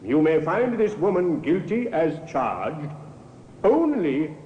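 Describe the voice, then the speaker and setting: An actor playing a stern, formal judge with a weighty, caustic manner in an old-time radio drama. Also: male voice, on the high side, big pitch swings, slow. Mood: caustic, grave. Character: stern, caustic male judge addressing a jury. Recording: echoey, dull, faintly noisy old radio recording.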